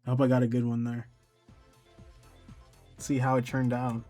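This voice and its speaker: A man's voice: mid-range voice, monotone, moderate pace, warm tone, soft background music. A man talking informally and a bit ramblingly through a casual gameplay tutorial, often poking fun at himself. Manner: informal, rambling, self-deprecating. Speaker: tutorial video maker.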